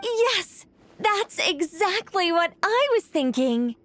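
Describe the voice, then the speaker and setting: A woman's voice: extremely high pitch, very animated, slow, fairly bright tone, full character voice. An actress voicing a genteel, coy young lady artist in a video game, whimsical and politely airy in her phrasing. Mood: delighted.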